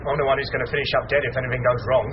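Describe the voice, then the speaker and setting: A mid-pitched man's voice in an acted part as a nervy, bullying gunman in a radio thriller. He is curt and menacing, in a roomy, noisy recording. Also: level tone, very fast, natural voice. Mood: edgy. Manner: curt, threatening. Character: edgy, bullying male crooked policeman holding hostages at gunpoint. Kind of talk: radio drama acting. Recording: muffled, echoey, noisy radio drama.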